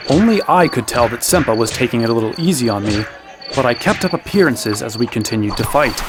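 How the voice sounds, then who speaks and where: A man's voice: medium pitch, background noise, close to an everyday voice. An actor playing an earnest young male fighter, telling his own story as narrator in a fantasy audio drama. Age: young adult. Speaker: first-person narrator.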